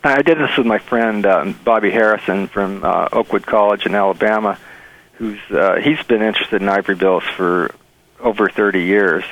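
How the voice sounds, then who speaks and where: A man being interviewed as a bird researcher, telling stories in an earnest, enthusiastic, halting conversational way. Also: on the low side, a bit bright, slight background noise, low-quality recording.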